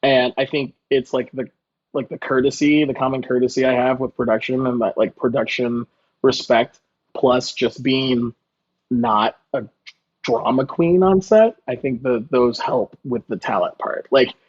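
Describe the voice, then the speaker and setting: A man's voice: mid-range voice, measured pace. A man chatting casually as a chef guest in an interview, telling stories informally and quoting people as he goes. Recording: slightly dull, lo-fi audio.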